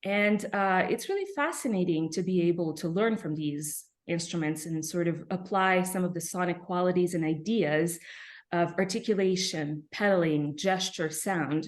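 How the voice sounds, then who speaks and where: A woman from Serbia, a pianist, answering interview questions reflectively with frequent soft fillers and pauses. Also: lowish voice. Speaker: pianist guest.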